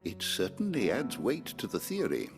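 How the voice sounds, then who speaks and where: An actor with an English accent playing a learned, courtly scholar in a fantasy game, formal and eloquent. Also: male voice, lowish voice, huge pitch swings, normal speed, faint music behind. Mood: scholarly, intrigued. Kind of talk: video game drama acting.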